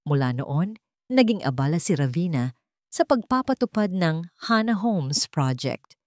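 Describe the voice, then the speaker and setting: A woman narrator reading a scripted romantic drama story in measured, short phrases. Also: lowish voice, sing-song, a little mellow, dry recording, studio quality.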